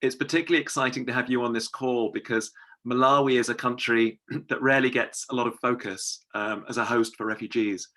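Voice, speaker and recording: A man's voice: medium pitch, steady tone, fairly quick, a bit bright. A man moderating a seminar, courteously putting several questions at once to a guest. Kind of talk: interview questions.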